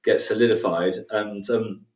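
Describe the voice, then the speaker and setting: Low-voiced host in an echoey room. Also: male voice, fairly even intonation, darkish tone. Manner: halting, repeats words, many you knows. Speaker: podcast host. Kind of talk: interview questions. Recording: roomy, distant from the mic.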